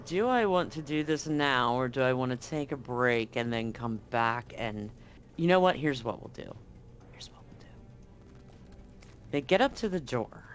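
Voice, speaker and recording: A man's voice: mid-range voice, slight background noise. A man narrating as game master in a tabletop game, loose and casual with many fillers and restarts.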